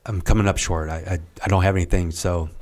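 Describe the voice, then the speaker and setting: Man, middle-aged, very deep voice, podcast guest, halting, trailing off. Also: steady tone. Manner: rambling, halting, many restarts and trailing off quietly. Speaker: podcast guest, retired Navy serviceman. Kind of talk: casual interview storytelling.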